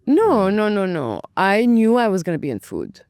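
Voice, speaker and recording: A young woman from Lebanon who owns a restaurant, talking as a guest in a chatty, animated way and acting out her own past thoughts. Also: medium pitch, normal speed, dry recording, clean studio sound. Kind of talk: casual interview.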